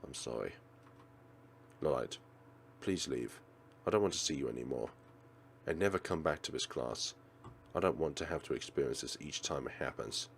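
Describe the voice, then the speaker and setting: A man playing a menacing, obsessive male teacher in a visual novel, speaking in a hushed, coaxing and threatening way. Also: a bass voice, expressive, moderate pace, gravelly, long pauses, slight background noise, voice pushed down, heavily acted. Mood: cold, pained.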